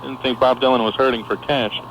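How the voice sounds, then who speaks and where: A man calling into a talk radio show, speaking hesitantly with many pauses and restarts. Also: mid-range voice, level tone, creaky, fairly bright tone. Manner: hesitant, full of uhs and restarts. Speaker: radio caller. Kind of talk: phone-in radio chat. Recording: muffled AM radio broadcast with faint hiss.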